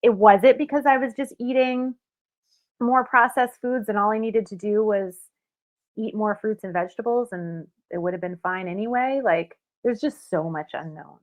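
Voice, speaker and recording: A woman's voice: medium pitch, normal speed. A woman speaking candidly and self-mockingly in a casual chat, with many fillers. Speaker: guest reacting to her old video. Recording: tinny phone-like call audio.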